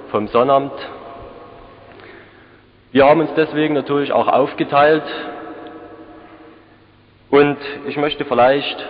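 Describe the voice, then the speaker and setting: A man in his twenties, a student, moderating a citizens' assembly with halting, hesitant speech. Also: mid-range voice, slight background noise. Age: 20s. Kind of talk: public address at a citizens' assembly. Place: large church hall. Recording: echoey church hall, dull 1989 tape.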